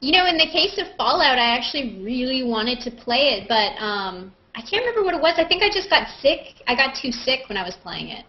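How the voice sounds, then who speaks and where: High-voiced woman on a muffled video call. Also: very animated, brisk, hardly any pauses. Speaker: host of a live video hangout. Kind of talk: casual group chat. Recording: muffled low-fidelity video call audio.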